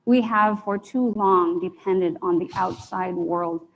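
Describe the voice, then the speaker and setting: A woman's voice: lowish voice, takes it slowly, rough recording. A woman giving an earnest campaign introduction as a mayoral candidate, building her points in rhetorical lists.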